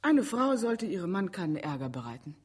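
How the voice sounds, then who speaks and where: An actress in a radio drama playing an anxious, reluctant female witness, speaking in a breathy, hesitant, emotional way. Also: lowish voice, big pitch swings, fairly quick. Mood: quiet, defensive. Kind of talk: German radio drama acting.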